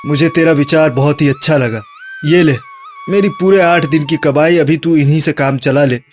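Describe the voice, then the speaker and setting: A man's voice: fairly high pitch, normal speed, soft background music, lightly acted. A man voicing an earnest, hardworking younger son in a cartoon moral story, speaking sincerely and pleadingly. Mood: hopeful.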